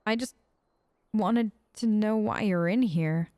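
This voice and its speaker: A woman giving casual, chatty role-play commentary over a video game, playful and gentle, often hesitating and tagging on questions. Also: mid-range voice, slow, dry recording, clean studio sound. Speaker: gamer playing a role-play let's play.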